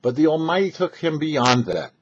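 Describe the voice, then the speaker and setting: A man, a rabbi hosting a short religious commentary, telling and explaining scripture with emphatic, halting phrasing and pauses. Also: a little high, big pitch swings, slightly rough. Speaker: rabbi and host of a weekly Torah talk show. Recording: dull, lo-fi sound.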